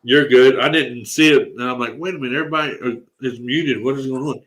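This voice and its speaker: A man's voice: medium pitch, fast talker, a bit bright, a little hiss or hum. A congested man hosting a casual live stream, talking in a rambling, laid-back way with lots of fillers. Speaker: livestream host. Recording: roomy echo.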